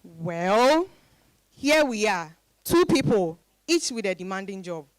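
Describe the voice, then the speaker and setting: A woman's voice: medium pitch, sing-song, slow, no echo, rough recording. An actress with a Ghanaian accent playing a proud, independent professional woman in a dramatized reading, emphatic and exclaiming. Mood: frustrated.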